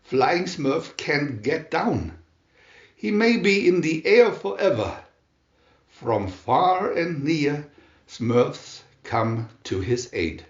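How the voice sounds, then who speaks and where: A man narrates a children's storybook with great deliberation, taking his time over each phrase. His intonation rises and falls in a lively, expressive way. The recording is slightly muffled and sounds like a reverberant room. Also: fairly high pitch, very slow. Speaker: storybook reader.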